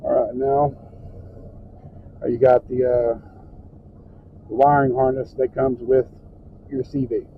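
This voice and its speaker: A man's voice: medium pitch, flat delivery, slow, pauses often. A plain-spoken man with folksy phrasing gives an informal, step-by-step hands-on tutorial from inside a truck cab. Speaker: truck driver and trainer giving a tutorial. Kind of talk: informal hands-on how-to narration. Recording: tinny phone-like audio with background noise.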